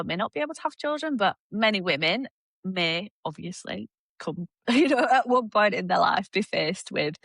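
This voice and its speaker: A young woman with a Yorkshire accent, a women's fitness coach, talking in an animated, laughing way as a podcast guest. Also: on the low side, dry recording. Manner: animated, laughs often, quick asides. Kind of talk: casual podcast interview.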